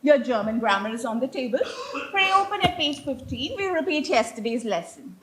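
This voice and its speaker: A woman's voice: high voice, very animated, fairly quick, a bit bright. A woman playing a strict, prim Victorian governess in a stage comedy, pompous and given to moralizing. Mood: stern, instructing. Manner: stern, pompous, declamatory. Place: live stage play.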